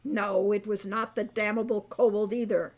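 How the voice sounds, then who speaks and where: A woman's voice: mid-range voice, little pitch movement, moderate pace, a little mellow, a little hiss or hum, close to an everyday voice. Woman voicing a stern, furious centaur father. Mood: indignant. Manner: formal, indignant, scolding speech. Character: stern, angry centaur father, farmer and archer.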